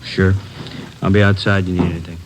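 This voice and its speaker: An actor playing a helpful, plain male police officer in a 1950s radio drama, speaking quietly and evenly. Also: low voice, flat delivery, fast talker. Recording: old radio recording with clear noise.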